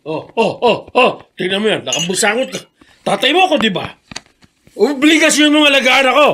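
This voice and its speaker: A man's voice: very high voice, animated, fairly quick, bright, forceful tone, a little hiss or hum, heavily acted. A man acting a bossy, irritable father who barks commands, with a Filipino accent, in a radio drama. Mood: angry, domineering. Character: bossy, snarling, injured father demanding service.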